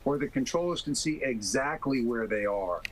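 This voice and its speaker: A man speaking as an expert guest in a TV interview, explaining things in a measured, careful way. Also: mid-range voice, animated, crowd noise, rough recording. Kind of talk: live TV interview.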